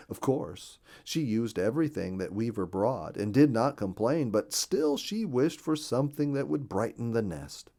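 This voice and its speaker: A man narrating a children's storybook in a gentle, expressive reading voice, recorded on a studio microphone. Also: on the low side. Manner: gentle, expressive reading with a lot of rise and fall.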